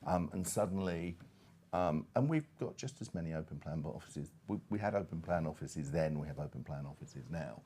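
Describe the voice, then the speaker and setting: Deep-voiced middle-aged man, halting and emphatic. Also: lively intonation, warm tone, slightly rough. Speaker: panel speaker. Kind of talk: conversational expert discussion.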